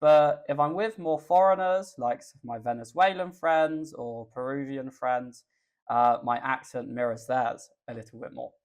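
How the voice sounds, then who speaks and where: A young Englishman with an English accent, a guest chatting in a podcast interview, relaxed and thoughtful with the odd um. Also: mid-range voice, unhurried. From England. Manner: relaxed, thoughtful, with filler ums. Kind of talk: casual interview chat.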